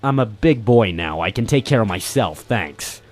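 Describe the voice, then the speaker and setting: A man's voice: fairly low pitch, animated, normal speed, darkish tone, no echo. A man playing a weary, independent private eye, terse and informal, in radio detective drama style with music behind. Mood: weary, impatient. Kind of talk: old-time radio detective drama acting.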